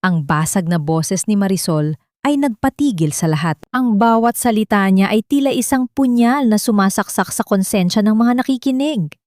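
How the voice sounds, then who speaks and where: A woman narrator reads a scripted drama at a medium pitch. Her delivery is solemn and melodramatic, like formal storytelling. The recording is dry and close. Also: lively intonation, moderate pace, darkish tone, dry recording.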